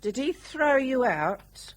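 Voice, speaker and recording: Blunt, nagging elderly mother, lively pitch. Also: slow, heavily acted. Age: older. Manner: grumbling, scolding, blunt. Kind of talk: radio drama acting.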